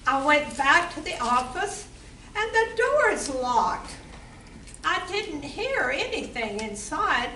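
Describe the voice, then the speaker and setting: A saucy, bossy barmaid with a high, sharp voice, acted by a woman in an amateur play. She projects each line slowly and deliberately. It sounds roomy, picked up from a distance. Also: sing-song, full character voice. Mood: brisk, inquiring. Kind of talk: amateur community theatre reading. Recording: roomy, distant mic with background noise.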